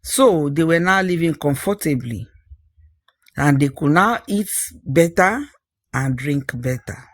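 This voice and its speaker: A storyteller narrating a scripted folktale, phrasing things deliberately and repeating for emphasis. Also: mid-range voice, expressive, dry recording.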